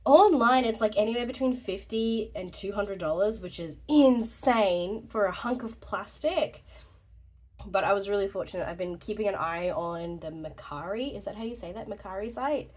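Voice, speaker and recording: A woman's voice: medium pitch, moderate pace, a little mellow. A young woman with a slightly congested voice, rambling casually through a vlog update, chatty and laughing often. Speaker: journaling YouTuber.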